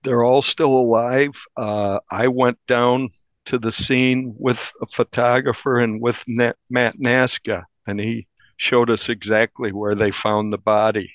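A man's voice on a dull, dry recording, at an average pitch. He talks as a reporter being interviewed, telling a story conversationally. His delivery is measured and descriptive. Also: little pitch movement, no echo. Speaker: newspaper reporter, interview guest. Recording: dull, band-limited audio.

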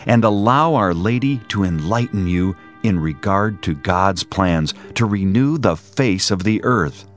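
A man reading a scripted religious promotional announcement. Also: low-pitched. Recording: faint music bed under voice.

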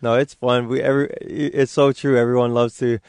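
A young man from Hawaii, raised speaking Hawaiian, chats easily as an interview guest with lots of fillers. His voice is smooth and resonant, close on a dry studio mic. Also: medium pitch, a little fast, no echo. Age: 20s. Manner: relaxed, easygoing, many fillers. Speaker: football player guest. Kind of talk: casual interview chat.

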